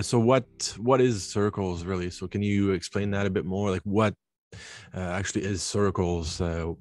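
A man in his thirties hosting a casual interview, speaking in halting, broken-up phrases into a desk microphone. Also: low-pitched. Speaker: show host. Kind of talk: casual interview, channel intro. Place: small home office, indoors, video call. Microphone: desk microphone close in front.

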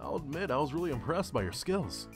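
Quick, confident male tennis captain. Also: fairly high pitch, very animated. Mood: encouraging. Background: game music.

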